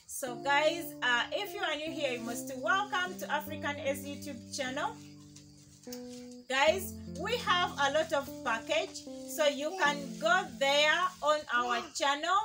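A woman's voice: high voice, a little slow, over music. A young Ugandan woman, Luganda her first language, vlogging outdoors in a village yard to a camera a few meters away, greeting viewers and calling them "guys". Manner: repeats "guys" and thanks viewers often. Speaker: vlogger host. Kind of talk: casual vlog to camera. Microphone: camera on a tripod, a few meters away.

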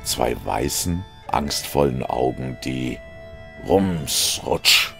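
A man's voice: very deep voice, drawn-out words, creaky, over music. A man narrating a scripted audio story in a dramatic reading style.

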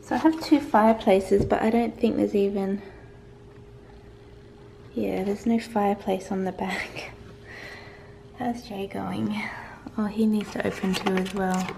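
A young woman with a worn, croaky voice rambles through her day to the camera, laughing often. Her voice has a gravelly edge. She is filmed at arm's length in a roomy kitchen, with faint ambient noise behind her. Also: mid-range voice. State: croaky, tired voice. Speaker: vlogger. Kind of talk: casual daily vlog. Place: home kitchen. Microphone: handheld camera at arm's length.

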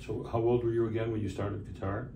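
Older man interviewing, very low voice. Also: fast talker, creaky. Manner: relaxed, rambling with fillers. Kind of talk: casual interview. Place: small room with a framed map on the wall. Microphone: stand mic, filmed by a far camera. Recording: roomy, distant, noisy.

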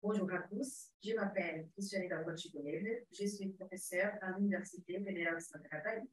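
A woman's voice: lowish voice, steady tone, a little fast. Brazilian woman speaking careful, accented French. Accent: Brazilian Portuguese. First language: Portuguese. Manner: careful, hesitant, frequent fillers. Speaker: university professor presenting. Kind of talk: conference presentation. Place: home room, online. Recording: prerecorded video in echoey room, far from mic.

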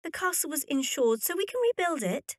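An actress playing an affectionate, spirited young Victorian heiress, newly married, in a period audio drama. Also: female voice, high-pitched, very animated, a little fast. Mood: tender, reassuring.

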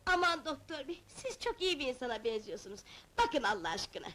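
A stubborn middle-aged wife with an extremely high, sharp voice that swoops widely. She scolds and wails in theatrical comedy film manner, quick to anger and impossible to budge. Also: big pitch swings, slight background noise. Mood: pleading, fond. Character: stubborn, hot-tempered wife hunting her lost husband. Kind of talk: broad comedy film acting.

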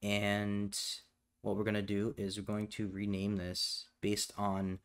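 A man narrating a step-by-step software tutorial in a matter-of-fact, instructional way. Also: fairly low pitch, monotone, a little slow, clear, resonant tone. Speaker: tutorial presenter.

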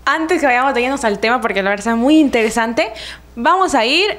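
A woman's voice: on the high side, lively intonation, a little fast, a bit bright. A young woman co-hosting a radio show in a studio, cheerful as she introduces the guest. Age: young adult. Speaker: radio co-host. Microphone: studio mic with headphones.

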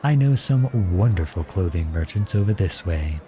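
Male actor as gallant outlawed prince, ASMR roleplay, low voice, playful, tender, courtly, outdoor ambience behind. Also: expressive, moderate pace, mellow, slight background noise. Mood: warm, attentive. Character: charming, gentle, teasing outlawed young prince. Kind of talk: ASMR roleplay acting addressed to the listener.

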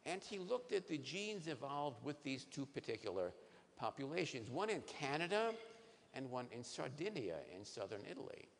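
A man in his sixties, a neurologist, giving a lively science lecture to patients on a conference stage, asking the audience questions. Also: medium pitch, moderate pace, creaky. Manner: animated, asks the audience questions. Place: large conference hall stage. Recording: reverberant hall, faint noise.

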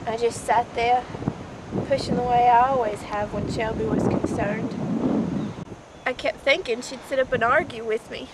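A woman performing an audition monologue as a grieving mother, with halting, emotional, dramatic delivery. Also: on the high side, fairly even intonation, close to an everyday voice. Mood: quiet numb grief. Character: grieving Southern mother, bitter then raging over daughter's death. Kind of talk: amateur audition monologue, stage drama acting. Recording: noisy amateur recording, wind-like microphone noise.

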